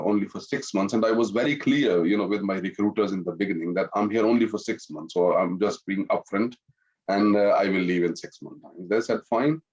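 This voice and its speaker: A man's voice: on the low side, a little mellow, highs cut off. A middle-aged man from Pakistan and France speaking courteously and conversationally as a webinar guest, often adding small asides. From Pakistan-born, grew up in France. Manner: courteous, with frequent "you know" asides. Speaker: webinar guest, Islamic finance professional. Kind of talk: conversational personal introduction in a webinar.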